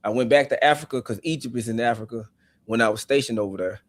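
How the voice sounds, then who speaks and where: Animated man on a live stream. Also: mid-range voice, fast talker, no echo. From South Memphis, USA. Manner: animated and outspoken. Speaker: guest caller on a live panel. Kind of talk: casual live-stream debate.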